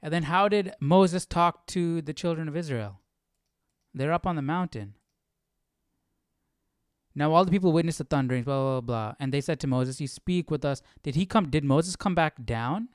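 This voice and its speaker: Casting: male study-stream host, smooth clear voice, close dry sound, informal and questioning. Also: fairly high pitch, moderate pace, dry recording. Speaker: Bible study livestream host. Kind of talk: casual thinking aloud, reading scripture.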